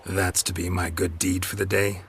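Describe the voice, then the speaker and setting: Casting: weary fantasy game mercenary, very deep bass, gruff, dry, few words. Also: male voice, moderate pace, full character voice. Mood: dry, guarded. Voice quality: gravelly, low. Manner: terse, deadpan. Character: gruff, laconic, world-weary male monster hunter. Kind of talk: video game character acting.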